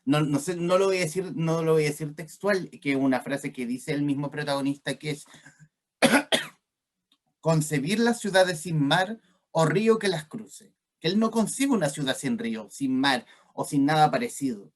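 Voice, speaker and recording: A man's voice: fairly high pitch, expressive, moderate pace. A man with a Chilean accent hosting a conversational interview, hesitant and searching for his words. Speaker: interviewer, host.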